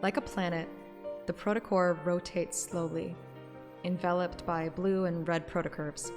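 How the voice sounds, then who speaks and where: A woman's voice: fairly low pitch, steady tone, unhurried. A woman streaming a story game, moving between playful, self-mocking chat and dramatic read-aloud narration. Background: game music.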